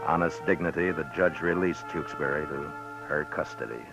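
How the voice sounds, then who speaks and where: An American frontier lawman with a very low, bottom-heavy male voice. He is plain-spoken, gruff and humane, in the manner of a 1950s radio western. The sound is muffled vintage broadcast. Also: steady tone, moderate pace, music in the background. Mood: reflective.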